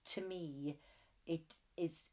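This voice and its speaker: Low-voiced middle-aged woman. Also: steady tone, extremely slow, dark tone. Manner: careful, with frequent "um" and qualifying. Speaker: interviewee. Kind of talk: interview answers.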